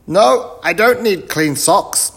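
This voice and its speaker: A man voicing a muddled, excitable, hard-of-hearing old professor for a children's storybook, loud and exclamatory. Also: sits high, takes it slowly, gravelly, slightly rough, voice pushed up, full character voice. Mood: flustered, loud. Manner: loud, exclamatory, mishears and blunders on cheerfully.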